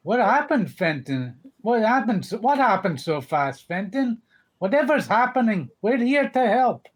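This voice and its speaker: A grumpy old male duck tycoon from a cartoon comic, acted out live by an amateur reader. He is businesslike and exasperated, and his pitch swings widely as he talks. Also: very animated, measured pace, slightly rough. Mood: stern, concerned. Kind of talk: live comic dub reading.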